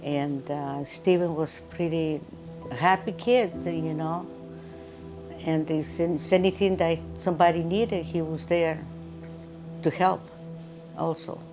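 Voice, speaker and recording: A woman's voice: deep voice, fairly even intonation, slow, pauses often, rough recording. An older woman from Chile with a Chilean Spanish accent, giving a personal interview in short, simple phrases. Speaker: interviewee, mother of a missing person. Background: music.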